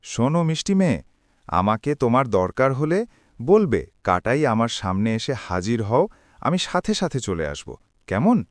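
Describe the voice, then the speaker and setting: A man's voice: medium pitch, big pitch swings, normal speed, no room sound, studio quality, natural voice. A man voicing a friendly, helpful magical tree in a cartoon, kindly and eager to help. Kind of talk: cartoon voice acting.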